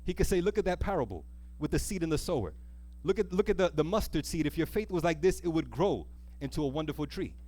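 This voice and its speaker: Emphatic male preacher, higher-pitched voice. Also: animated, darkish tone. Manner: emphatic, repetitive, drawn-out stress on key words. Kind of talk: impassioned sermon with rhetorical questions to the congregation. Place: church, live before a congregation.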